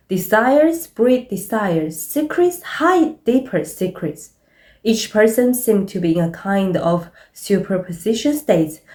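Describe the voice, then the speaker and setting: A woman presents a scripted video essay, speaking earnestly and deliberately in non-native English. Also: fairly high pitch, darkish tone, slight background noise. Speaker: presenter of a literary commentary.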